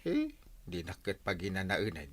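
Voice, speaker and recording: A man's voice: sits low, sing-song, moderate pace, a bit bright. A man with a Filipino accent playing a bumbling, boastful, cowardly night-watch captain in a radio drama, stammering and blustering.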